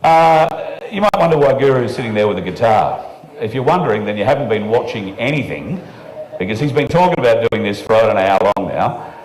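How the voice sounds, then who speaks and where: A man's voice: fairly low pitch, sing-song, a little fast, a little mellow. An Australian man hosting a live show in a casual, offhand and joking way. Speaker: host. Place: live venue room. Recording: roomy live room, faint music.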